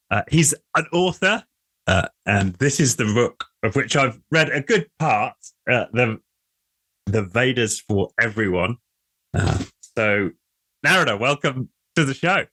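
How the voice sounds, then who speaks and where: Male host, bright forward tone, dry close sound, chatty interviewer who stumbles through his questions. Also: lowish voice, no room sound. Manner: many filler "uh"s and restarts. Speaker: podcast host.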